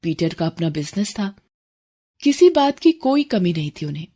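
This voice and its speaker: A woman's voice: on the low side, big pitch swings, normal speed, mellow. A woman narrator reading a scripted moral story for children.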